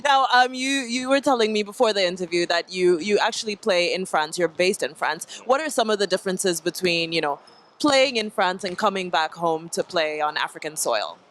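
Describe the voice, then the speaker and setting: A woman's voice: lowish voice, expressive, a bit bright, a little hiss or hum. Playful woman sports host. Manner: playful, teasing, quick to laugh. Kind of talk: upbeat on-site interview.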